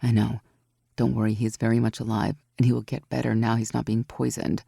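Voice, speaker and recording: A woman voicing a strong, protective warrior woman who speaks low and soft in a storybook. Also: little pitch movement, a little fast, gravelly, breathy edge, no room sound, clean studio sound, full character voice. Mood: reassuring.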